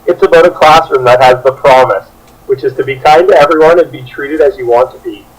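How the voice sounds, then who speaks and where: A young man with a husky, mid-pitched voice reads out a scripted presentation. He speaks as a student teacher explaining a project. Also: fairly quick, rough recording.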